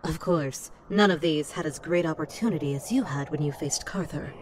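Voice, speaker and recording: A woman's voice: deep voice, moderate pace, darkish tone, slight background noise, lightly acted. An actress playing a bold, sardonic noblewoman warrior in a medieval fantasy game, with a haughty, taunting manner.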